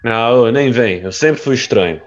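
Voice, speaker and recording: An actor playing a brash, cocky young rich playboy in an audio drama, with slangy, teasing speech. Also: male voice, mid-range voice, moderate pace, muffled recording, close to an everyday voice. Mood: pensive.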